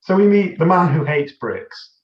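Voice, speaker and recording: A man co-hosting a podcast, casually discussing a film in a halting, wry way. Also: fairly high pitch, lively intonation, crowd noise. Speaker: podcast co-host. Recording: slightly dull, echoey room.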